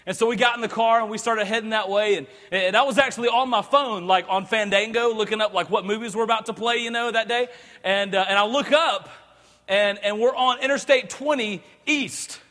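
Man in his thirties, bright high voice, energetic sermon, stammering repeats, long hall reverb. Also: brisk. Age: 30s. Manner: excitable, joking, stammers and repeats words. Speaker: pastor. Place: large church hall.

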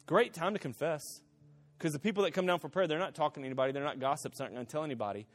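A middle-aged man preaching a sermon, telling stories in an animated way, rising to emphatic peaks and dropping to hushed asides. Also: a little high, rapid-fire, soft background music. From Central America. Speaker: pastor.

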